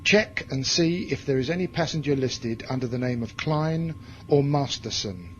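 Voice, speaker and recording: A man's voice: medium pitch, animated, normal speed, a bit bright, lo-fi sound, slight background noise, natural voice. An actor playing a cold, cruel, commanding male space commander in a science fiction audio drama, with contempt in his delivery. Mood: brisk command.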